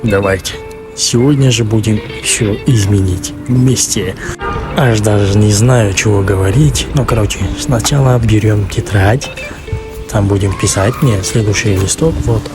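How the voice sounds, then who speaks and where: Halting man vlogging. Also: on the low side, music in the background. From Kazakhstan. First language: Kazakh. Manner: halting, searching for words, many fillers. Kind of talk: casual vlog talk.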